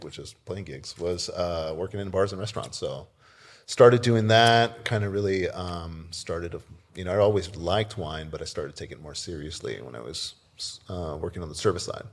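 A man's voice: deep voice, normal speed. A man from South Texas speaking casually as an interview guest, easygoing and joking, feeling his way through his answers. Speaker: podcast guest, winemaker.

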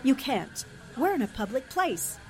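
A woman playing a composed, brave newspaperwoman in a 1940s-style radio thriller, brisk and self-possessed. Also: high voice, big pitch swings, faint music behind. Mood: alarmed. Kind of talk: 1940s radio drama acting.

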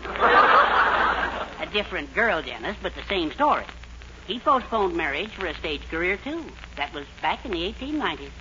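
A man's voice: sits high, sing-song, moderate pace. An actor playing a genial, wry, middle-aged fatherly American man in a 1940s radio comedy. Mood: wry, advising. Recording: narrow-band old radio recording, faint noise.

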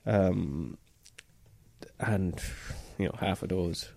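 A man talks in a low, dark, mellow voice, circling his points with plenty of fillers and false starts. The recording is dry and close, with no room sound behind him. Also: drawn-out words, gravelly, no echo. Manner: hesitant, explaining with many fillers and restarts. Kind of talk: casual chat.

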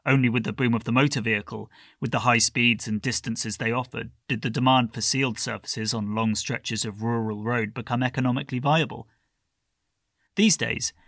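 Male narrator, scripted magazine read, medium pitch, close and dry sound. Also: creaky, fairly bright tone, dry recording. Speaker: reader of magazine article.

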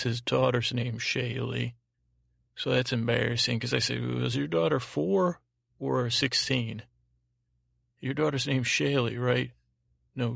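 A man hosting a bedtime podcast in a meandering, halting monologue, repeating himself and trailing off, gently self-deprecating. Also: mid-range voice, vocal fry, dry recording, clean studio sound. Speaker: podcast host. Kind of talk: rambling sleep-podcast monologue with listener thanks.

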